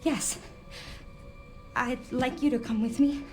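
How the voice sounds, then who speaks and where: A woman playing a nervous, gentle young policewoman in a horror game, halting and stammering as she talks. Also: sits high, fairly even intonation, soft background music.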